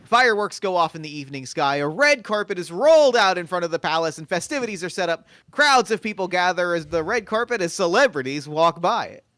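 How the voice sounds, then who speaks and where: A man reading stage directions aloud at a table read, in a quiet, even voice. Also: a little high, no echo, studio quality.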